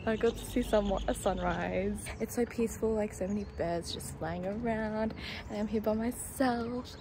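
Young woman vlogger, phone selfie mic, gravelly voice with whispers, roomy echo, soft music behind. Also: medium pitch, normal speed, noisy background. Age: 20s. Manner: chatty, giggly, often whispering. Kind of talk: casual vlog chat. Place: small bedroom indoors, then outdoors on walks. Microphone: phone held at arm's length.

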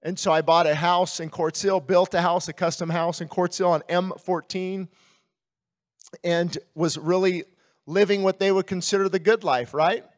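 High-pitched American male pastor. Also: steady tone. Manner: conversational, candid storytelling with pauses and repeated words. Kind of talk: sermon with personal testimony. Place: outdoor stage at a baseball stadium in the evening. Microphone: handheld microphone.

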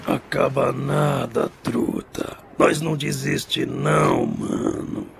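An actor playing a laid-back, stubborn insect rapper in a dubbed children's cartoon, with a gravelly growl and Brazilian street slang. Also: mid-range voice, wildly swooping pitch, slow, slight background noise, heavily acted. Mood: determined, easygoing. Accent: Brazilian urban street slang. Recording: loud music behind the voice.

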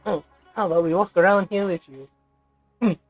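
A man gamer casually reading along and reacting to game dialogue with relaxed, offhand remarks. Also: sits high, a little slow, dry recording, dull audio. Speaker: gamer recording a playthrough. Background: game music.

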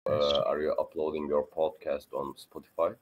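A man's voice: very deep voice, level tone, moderate pace, lo-fi sound, slight background noise. A man in his twenties chatting over a phone video call, asking short curious questions. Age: 20s. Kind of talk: casual video-call chat.

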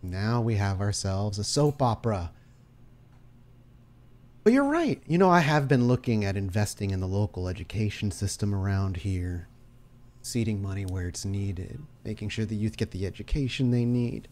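A man playing a smug, scheming crime boss who bargains in a measured, businesslike way in a roleplay game. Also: on the low side, lively intonation, darkish tone, pitched below the performer's own voice, lightly acted. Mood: smug bargaining.

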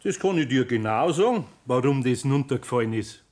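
A man playing a kindly, sly older craftsman in a 1960s radio play, with a Bavarian accent and an unhurried, homely manner. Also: mid-range voice, expressive, lightly acted. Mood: probing, stern. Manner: unhurried, homely, chuckling, gently admonishing.

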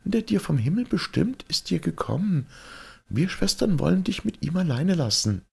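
A man's voice: on the high side, very animated, moderate pace, gravelly, darkish tone, slightly rough, pitched above the performer's own voice, exaggerated voice. A man voicing a proud, bashful young maiden of heaven in a fairy tale, haughty and pleading. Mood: gentle.

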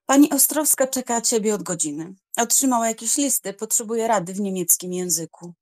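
A woman's voice: a little high, brisk, a little mellow, lightly acted. Woman as cold, proud young noblewoman. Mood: matter-of-fact. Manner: curt, cutting.

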